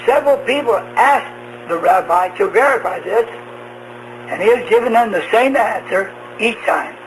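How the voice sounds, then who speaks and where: High-voiced man preaching on noisy radio. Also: fairly bright tone. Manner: slow, halting phrases with rhetorical questions. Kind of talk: shortwave radio sermon. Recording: noisy humming shortwave radio broadcast.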